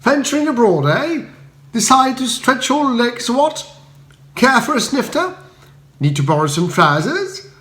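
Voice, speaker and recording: A man voicing a foppish young English gentleman in a comic audiobook, chattering in a breezy, dithering upper-class way. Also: extremely high pitch, very animated, unhurried, pitched above the performer's own voice, exaggerated voice. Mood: breezy, genial. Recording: roomy echo.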